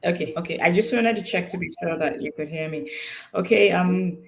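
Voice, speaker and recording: HR woman on a video panel, mid pitch, hesitant. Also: fairly quick, a little hiss or hum. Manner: hesitant, many fillers. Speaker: HR professional, panelist. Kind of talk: panel introduction on a video call.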